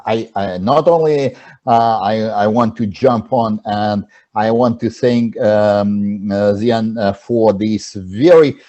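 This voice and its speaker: Man, non-native english speaker, slow, mellow dark voice, halting with fillers, video call. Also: fairly low pitch, dull audio. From abroad, moved to Canada. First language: not English. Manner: halting, many fillers and restarts. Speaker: panelist. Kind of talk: roundtable discussion on a video call.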